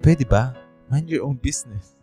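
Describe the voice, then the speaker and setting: A man's voice: medium pitch, animated, normal speed, dark tone, soft background music, close to an everyday voice. A man with a Filipino accent acting a hurt, sullen young man in radio drama dialogue. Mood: hurt, resentful.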